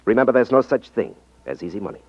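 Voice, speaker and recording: An actor playing a confident, wry ex-magician turned detective in a 1950s radio drama, explaining things with self-assurance. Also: male voice, fairly low pitch, sing-song, fast, heavy background noise. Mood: addressing listeners. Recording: dull, dry 1950s radio recording.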